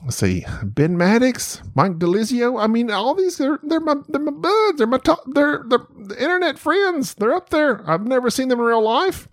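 A man's voice: very high-pitched, sing-song, normal speed, hardly any pauses, no echo, studio quality. A middle-aged man hosting a solo podcast, talking with a nasal voice in a rambling, self-mocking, chatty way. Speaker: podcast host. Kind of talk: solo stream-of-consciousness podcast.

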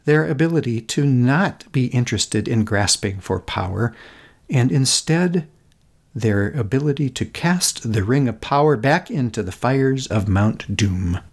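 Podcast host: American male, middle-aged, mid-pitched and very expressive, contemplative scripted delivery, gentle and deliberate. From Minnesota, United States. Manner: contemplative, gentle, deliberate phrasing with emphatic repetition. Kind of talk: reflective scripted narration.

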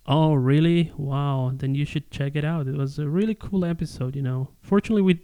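Mellow male podcast host in a roomy space. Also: on the high side, brisk, clean tone. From Ecuador. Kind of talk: casual podcast interview.

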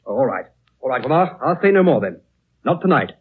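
An actor in a 1930s radio drama playing an impatient traveler anxious to leave, halting and insistent, repeating himself. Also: male voice, medium pitch, very animated, husky. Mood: curt, warning. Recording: old tinny radio recording, music behind.

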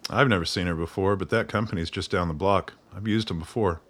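Actor as fast-talking low-voiced barman. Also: a bit bright. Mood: helpful, businesslike. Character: practical barman fixer, matter-of-fact. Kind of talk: radio play dialogue.

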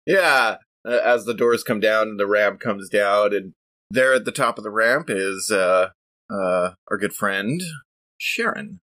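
A man with a fairly low voice that has a bright, crisp edge, recorded very dry. He improvises scene descriptions for a tabletop game in a casual, hesitant way, feeling for each detail. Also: a little slow, dry recording. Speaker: tabletop role-playing game player.

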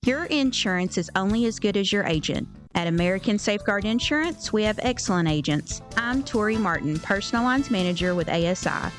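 A woman delivers a scripted commercial read as a company manager. Her voice sits at an average pitch, with music playing behind it. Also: expressive, a little fast, hardly any pauses. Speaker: insurance agency manager.

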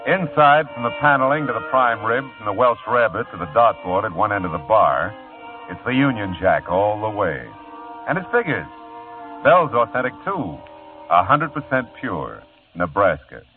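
Hard-boiled wisecracking private eye, low and dry, tinny vintage radio. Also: male voice, sing-song, normal speed, over music. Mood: wry. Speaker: detective narrating his own case. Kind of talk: 1950s radio drama acting. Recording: tinny lo-fi old radio broadcast.

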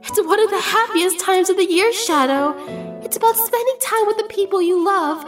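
Very high, sweet little girl. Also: normal speed, faint music behind. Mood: tender, cheerful. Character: gentle, sweet young girl. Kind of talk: amateur radio play acting.

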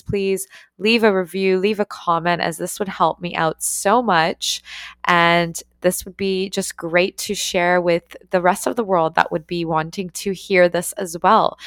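A young woman hosts her own podcast, speaking in a fairly low, earnest voice. She encourages her listeners and leans on repeated words for emphasis. The recording is dry and close. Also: fairly bright tone, smooth, dry recording. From born in Ukraine, raised in Canada. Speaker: podcast host. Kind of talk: solo podcast monologue.

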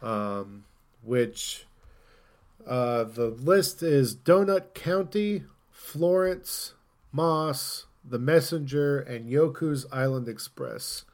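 A man hosting a casual gaming podcast, talking in an opinionated way and thinking out loud as he goes. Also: mid-range voice, lively intonation, very slow. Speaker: podcast host.

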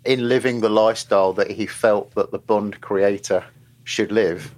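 A man with a deep, low voice chats as a podcast guest. He rambles, circles back and restarts his thoughts often. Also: fairly even intonation, normal speed, rough recording. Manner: rambling, many restarts and asides. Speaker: podcast guest, film journalist. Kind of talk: casual podcast discussion.

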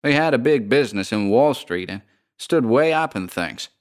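Guy doing an boarding house landlady from a spooky story, slow folksy drawl, totally unfazed. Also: medium pitch, animated, pitched above the performer's own voice, natural voice. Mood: cool, drawling. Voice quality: metallic voice. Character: coolly unbothered American landlady of a haunted boarding house.

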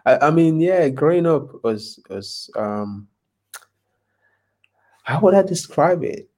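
A man from Nigeria, a podcast guest, talking thoughtfully with many pauses and restarts. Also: on the high side, lively intonation, a little mellow. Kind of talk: casual interview chat.

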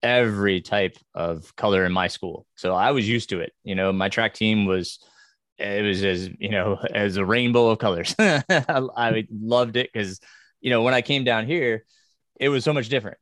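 A man's voice: fairly low pitch, a bit bright, no echo, clean studio sound. A man from New York hosting a casual panel talk, hesitant and laughing often. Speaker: podcast host.

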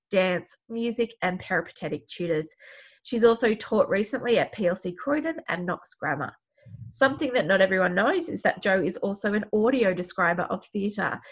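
A woman's voice: medium pitch, breathy edge. A woman hosting a podcast with a head cold that makes her sound sniffly and clogged up, reading introductions and chatting with guests. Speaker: podcast host. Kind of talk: scripted podcast intro and casual chat.

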